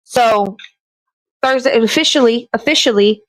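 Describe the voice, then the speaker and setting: A woman's voice: a little high, a little slow, no room sound, poor audio. Chatty woman host, dry close sound. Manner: rambling, self-correcting, chatty. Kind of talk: casual chat while crafting.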